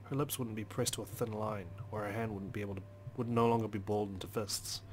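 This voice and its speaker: A man's voice: lowish voice, steady tone, fast, a little mellow, slight background noise. A man hosting a let's play, reading a visual novel's story aloud as dramatic, brooding narration.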